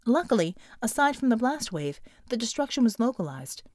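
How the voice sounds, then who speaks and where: Woman reading an action audiobook, highish voice, super dry close sound. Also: big pitch swings, fairly quick, darkish tone, no room sound. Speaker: audiobook narrator. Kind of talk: scripted dramatic story narration.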